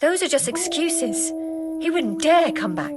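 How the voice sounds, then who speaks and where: Worried mum in a medieval game, high voice, doubtful and reluctant. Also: female voice, a little slow. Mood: anxious, doubting. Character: wary protective mother, stubborn and fearful of bandits. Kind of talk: video game cutscene acting.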